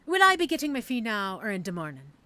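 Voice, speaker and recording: A woman's voice: medium pitch, wildly swooping pitch, fast, pushed and bright. A woman playing a cocky, street-hardened female mercenary assassin in an audio roleplay, with a teasing, sardonic, theatrical manner. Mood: amused, bargaining. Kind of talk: audio roleplay drama acting.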